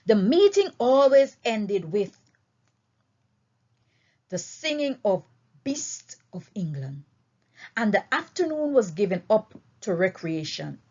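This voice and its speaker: A woman reading a novel aloud as an expressive storyteller, with deliberate, emphatic phrasing, on a dull, lo-fi recording. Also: medium pitch. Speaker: audiobook reader.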